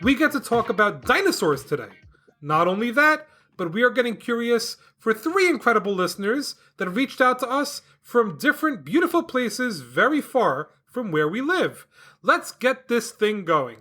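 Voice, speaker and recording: A man hosts a science podcast for kids with a bright, forward tone. His pitch swings widely as he explains things with sing-song enthusiasm, as if chatting with young listeners at home. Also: very animated, moderate pace. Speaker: podcast host. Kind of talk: upbeat educational explanation for kids.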